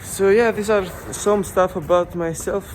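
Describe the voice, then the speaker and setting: A man's voice: sits high, fairly even intonation, unhurried, a little hiss or hum. A Greek man in his twenties with a Greek accent, chatting casually in a vlog while walking along a snowy street. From Greece. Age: 20s. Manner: chatty, rambling with fillers. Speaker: vlogger.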